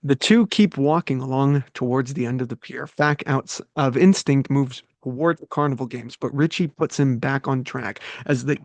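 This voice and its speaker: A man's voice: mid-range voice, moderate pace, few pauses, a little mellow, no room sound. A man reading scripted stage directions aloud as the narrator of a table read.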